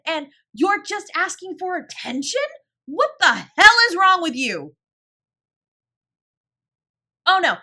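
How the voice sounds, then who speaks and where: A woman's voice: very high-pitched, pushed and bright, heavily acted. A woman playing a fiercely protective older sibling confronting a parent in an audio drama, scolding and emphatic with a sarcastic edge. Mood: furious, sarcastic. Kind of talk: dramatic audio roleplay acting.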